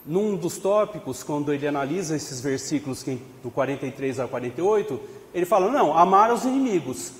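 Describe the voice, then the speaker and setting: A man with a Brazilian Portuguese accent leads a religious study session, explaining scripture in a conversational way. His voice sits somewhat high for a man. He asks rhetorical questions and checks in with his listeners, and his words ring with the long reverb of an echoey hall. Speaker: study group leader at a spiritist center.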